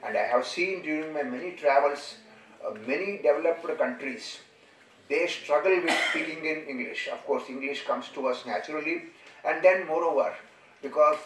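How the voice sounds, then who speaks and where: A man's voice: fairly high pitch, a bit bright. Indian man lecturing in an echoey room. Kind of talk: formal lecture with slides. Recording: echoey room, distant microphone.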